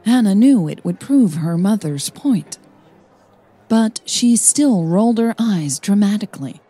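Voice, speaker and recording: A woman hosting a podcast and narrating a romance story in a calm, measured, scripted reading. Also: medium pitch, husky.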